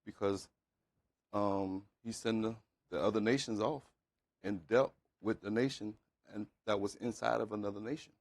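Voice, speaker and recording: A man with a fairly low voice and a dry sound, free of room echo. He offers conversational religious teaching in halting, short phrases.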